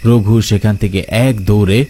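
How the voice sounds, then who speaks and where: A man's voice: lowish voice, steady tone, normal speed, warm tone. A man narrating a scripted horror story as storyteller, with night crickets behind him.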